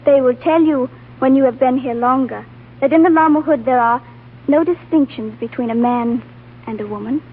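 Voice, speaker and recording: An actress playing a serene, demure young female lama with formal, gentle phrasing, in 1940s radio drama style. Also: high-pitched, normal speed, breathy edge. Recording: tinny old radio, long reverb.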